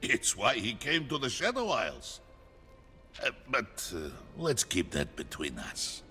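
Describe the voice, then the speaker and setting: A man's voice: mid-range voice, huge pitch swings, a little slow, bright, forceful tone, soft background music, full character voice. A man playing a big-hearted giant warrior in a fantasy game, jovial and kindly in a grand way. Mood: caring, reassuring. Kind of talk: cinematic fantasy game acting.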